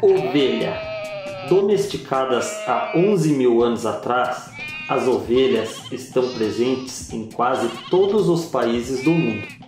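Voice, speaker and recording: A man reading a scripted educational narration in a slow, measured way, with pauses between phrases. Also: medium pitch, expressive. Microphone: voice-over. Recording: very echoey voice-over with music.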